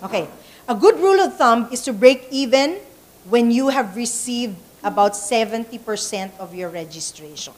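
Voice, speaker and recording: A woman's voice: fairly high pitch, animated, normal speed. A Filipina woman with an Ilonggo accent giving a conversational training talk, switching between English and Tagalog and repeating words as she thinks. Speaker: church event trainer.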